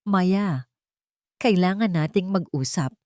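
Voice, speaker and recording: A woman narrator plays a guilt-ridden man in a romantic audio drama, pleading in a weak, halting way. The sound is close and dry. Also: fairly low pitch, darkish tone, no room sound. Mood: hesitant, shaken.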